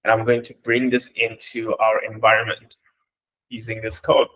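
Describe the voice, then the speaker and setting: A man from Bangladesh whose first language is Bengali, explaining code step by step in a relaxed way, with fillers and restarts. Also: lowish voice, moderate pace, poor audio. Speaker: coding instructor. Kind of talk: walking through code live.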